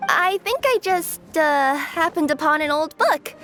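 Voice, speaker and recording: Earnest, very high-voiced little girl. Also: expressive, measured pace, bright, forceful tone, faint music behind, heavily acted. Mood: curious, sheepish. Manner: hesitant, earnest. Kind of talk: video game voice acting.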